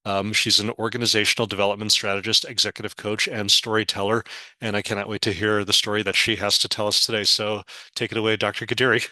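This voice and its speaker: A man's voice: on the low side, little pitch movement, fast, bright, forceful tone, no echo. A man moderating a webinar session over a video call, rambling with many fillers and apologetic slips as he runs through housekeeping and introduces the speaker.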